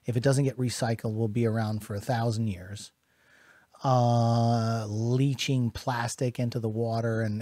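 A man's voice: medium pitch, a little mellow, dry recording. American guy in his 40s doing a casual vlog, earnest and emphatic, close dry studio mic. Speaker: YouTube host. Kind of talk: casual direct-to-camera vlog talk. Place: small dim home studio room, indoors. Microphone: studio microphone on boom arm, close.

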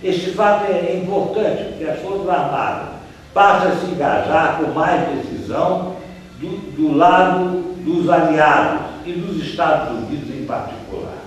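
An older Brazilian man giving a seated, conversational history lecture, pausing at times to search for names. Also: on the high side, recorded from a distance. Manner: conversational, gestures as he talks, trails off searching for names. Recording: echoey room, voice from off-mic.

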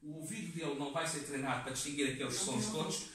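A man's voice: a little high, steady tone, over loud music. Man lecturing in an echoey classroom. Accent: European Portuguese. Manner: halting, many fillers and restarts. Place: community classroom with seated audience. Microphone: far camera in the room. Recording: echoey room, voice from a distance.

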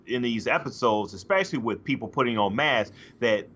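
A man's voice: mid-range voice, lively intonation, fairly quick, fairly bright tone, a little hiss or hum. A middle-aged man co-hosting a podcast in casual chat, hesitant and full of restarts, on a studio mic over a video call from home. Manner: hesitant, many restarts and fillers. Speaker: podcast co-host. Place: home room, indoors. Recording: dull lo-fi call audio.

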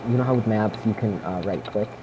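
Low young male gamer, noisy audio. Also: quick pace. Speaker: co-player in gaming video. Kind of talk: casual chat while gaming. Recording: noisy voice chat recording. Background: clear background noise.

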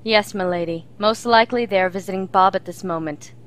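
A woman's voice: mid-range voice, brisk, close to an everyday voice. A woman playing a serious, dutiful fantasy warrior in an audio drama.

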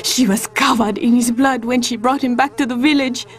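A woman's voice: fairly high pitch, steady tone, moderate pace, natural voice. An actress voicing a frail, grieving old village woman who trembles and cackles as she speaks. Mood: haunted. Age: older.

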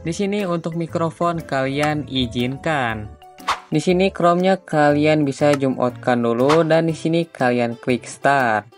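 A man from Indonesia, giving a casual, informal step-by-step software tutorial. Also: on the high side, unhurried. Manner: informal, repetitive step-by-step instructing. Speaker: tutorial host. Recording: music behind the voice. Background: background music.

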